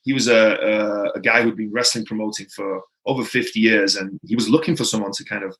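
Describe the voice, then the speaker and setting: A man's voice: lowish voice, fairly even intonation, brisk, fairly bright tone, poor audio. A man from Wales with a Welsh accent, a native Welsh speaker, telling his story as a podcast guest in a rambling, casual way full of "like" and "right?". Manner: rambling, filler-heavy, frequent "right?" and "like".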